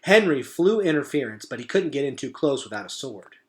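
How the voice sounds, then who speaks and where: A man's voice: medium pitch, big pitch swings, a little fast. A man reading a children's novel aloud as a storyteller, with dramatic, suspenseful delivery.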